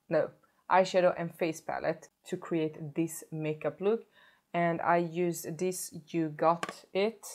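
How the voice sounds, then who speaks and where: A woman in her thirties with a sore throat, chatting through a makeup tutorial and stopping often to correct herself. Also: fairly low pitch. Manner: chatty, self-correcting, stops to show products. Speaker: beauty vlogger. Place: indoor home filming set.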